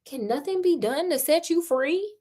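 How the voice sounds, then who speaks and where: A woman's voice: high voice, animated, moderate pace, warm tone, pitched above the performer's own voice. A woman voicing a devoted young fairy-tale princess, plaintive and earnest. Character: devoted young princess, little sister of enchanted brothers.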